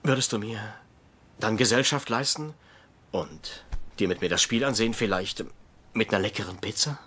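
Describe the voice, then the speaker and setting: Husky, sarcastic senior doctor, swinging delivery. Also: male voice, lowish voice, lively intonation, normal speed, a bit bright. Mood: mock tender. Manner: biting, insulting, ranting. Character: gruff, sarcastic middle-aged senior doctor mentoring an intern. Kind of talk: German dubbed TV comedy acting.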